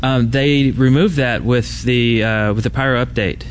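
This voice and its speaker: A man's voice: mid-range voice, fairly even intonation, moderate pace. Casual male podcaster, background convention noise. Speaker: podcast co-host. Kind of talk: casual gaming podcast chat. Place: live on an open convention floor. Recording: busy convention floor noise. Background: convention ambience and loudspeaker.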